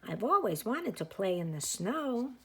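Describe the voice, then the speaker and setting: A woman's voice: mid-range voice, very animated, measured pace, breathy edge, heavily acted. A woman voicing a small, curious male toy bear with musing, wide-eyed wonder in a storybook. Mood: dreamy wonder.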